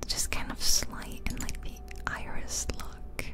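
A woman whispering breathily and close to the mic, musing aloud in an ASMR gaming commentary. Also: unhurried. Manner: musing aloud, asking herself questions. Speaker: host of an ASMR gaming video. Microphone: close ear-to-ear ASMR microphone.

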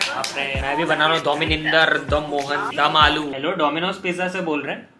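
A man's voice: a little high, steady tone, a little fast, a crowd in the background. Young man joking through a food vlog. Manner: joking, sarcastic banter. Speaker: food vlogger. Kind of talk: comic food review vlog. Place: hotel room.

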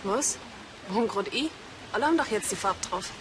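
An actress playing a defiant, defensive designer in a television crime comedy. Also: female voice, mid-range voice, animated, fairly quick, noisy background, lightly acted. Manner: curt, defensive. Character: defiant female designer under police suspicion.

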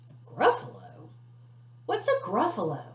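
A woman voicing a sly, hungry fox in a picture-book story, speaking with a raised, bright-sounding voice. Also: mid-range voice, huge pitch swings, slow pace. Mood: sly, inviting. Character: hungry sly fox, then a scared one.

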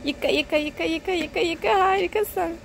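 High-pitched encouraging mom, chatting outdoors. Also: female voice, quick pace, gravelly. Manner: encouraging, sing-song repetition of words. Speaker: mother filming a family vlog. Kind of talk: casual narration to a small child. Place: outdoors at a car wash. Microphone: handheld phone camera, speaker behind it. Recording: outdoor car wash background noise.

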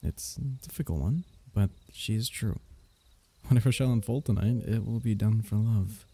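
Dark, husky man acting a courtly fantasy diviner. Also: fairly low pitch, moderate pace, dry recording. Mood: solemn, explaining. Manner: tender, teasing, courtly old-fashioned phrasing, soft chuckles. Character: gentle, flirtatious royal diviner in a fantasy kingdom. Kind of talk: intimate ASMR roleplay, bedtime storytelling.

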